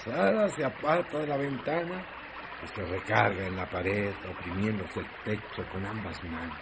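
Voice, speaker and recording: A man's voice: lowish voice, animated, moderate pace, heavy background noise. A man narrating a radio drama as a dramatic storyteller.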